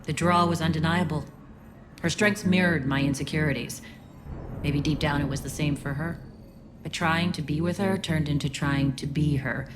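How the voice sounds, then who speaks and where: A low female voice for an earnest alien resistance leader in a video game, played by an actress. She is guarded and reflective, weighing her words with sincerity. Ambient noise is clearly heard behind her. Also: steady tone, moderate pace, close to an everyday voice. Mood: wistful, reflective.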